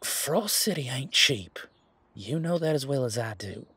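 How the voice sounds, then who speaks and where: A man playing a laid-back, responsible werewolf security guard in a casual roleplay audio, talking in a colloquial, halting, matter-of-fact way with a husky voice. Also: medium pitch, lively intonation, sharp tone, no echo, heavily acted. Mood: sheepish, defensive.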